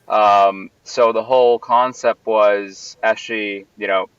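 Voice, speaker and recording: A man from Puerto Rico speaks in a low, flat voice, wandering through his answers with constant fillers and false starts. The sound is dull and lo-fi, picked up from well off the mic in a dry space. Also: slow, smooth, dry recording, distant mic. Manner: rambling, many fillers and restarts. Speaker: venue owner and founder. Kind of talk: casual interview answers.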